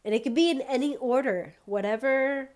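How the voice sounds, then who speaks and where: A woman's voice: sits high, normal speed, darkish tone. A woman hosting her own podcast, talking straight to listeners in an encouraging, motivational way. She sounds a little congested and wheezy. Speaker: podcast host. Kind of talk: solo podcast monologue.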